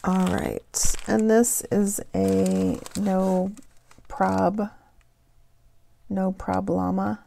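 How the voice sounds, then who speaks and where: Woman vlogger, relaxed haul chat, gravelly and dark, a bit hoarse. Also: mid-range voice, a little hiss or hum. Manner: slow, unhurried, chatty with fillers. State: voice getting raspy. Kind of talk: casual haul show-and-tell.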